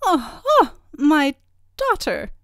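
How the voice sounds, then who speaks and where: A woman's voice: sits high, wildly swooping pitch, slow pace, no room sound, clean studio sound, voice pushed up, full character voice. A woman voicing a scheming, angry fairy godmother who commands and scolds in a storybook tale.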